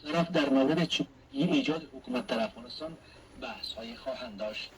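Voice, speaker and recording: A man's voice: mid-range voice, very fast, breathy edge. A man delivering a radio news report, heard through the speaker of an old shortwave radio.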